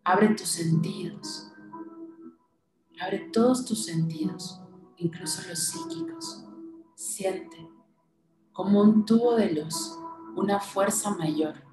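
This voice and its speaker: A woman leading a guided meditation in a soothing manner, giving short instructions with pauses between them. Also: mid-range voice, echoey room, low-quality recording. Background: music.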